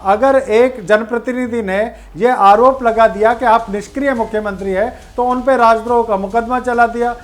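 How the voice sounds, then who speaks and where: A man's voice: extremely high pitch, steady tone, normal speed, few pauses. Firm, emphatic male party spokesperson. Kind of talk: political debate argument.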